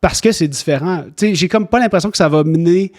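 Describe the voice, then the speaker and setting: A man from Quebec with a Québécois accent talks as a podcast guest. His voice is somewhat high for a man, and his delivery is informal and chatty. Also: quick pace, darkish tone. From Quebec, Canada. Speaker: podcast guest, clinic founder. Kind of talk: casual chat.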